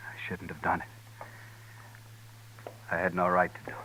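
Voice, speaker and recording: An actor playing an anxious small-town banker who fears ruin, pleading and fretful, in a 1950s radio drama. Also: male voice, sits low, monotone, normal speed, bright, forceful tone. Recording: noisy old radio broadcast.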